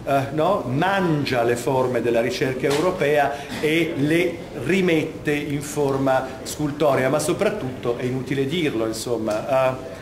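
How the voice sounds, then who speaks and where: A man's voice: mid-range voice, unhurried, a crowd in the background. A middle-aged man giving a discursive, emphatic guided art talk as an exhibition curator. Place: large vaulted museum gallery, indoors. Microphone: camera microphone a few steps away. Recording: echoey gallery hall, clear background noise.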